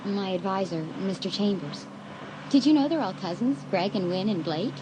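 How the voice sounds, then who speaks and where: A woman's voice: mid-range voice, lively intonation, moderate pace, noisy background, close to an everyday voice. An actress playing an uneasy teenage girl in a dramatised audiobook, with an anxious, brooding manner.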